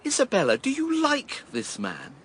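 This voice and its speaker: An actor playing a concerned, probing, indignant onlooker in radio drama dialogue. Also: male voice, very high-pitched, huge pitch swings, normal speed, a bit bright, slightly rough, full character voice.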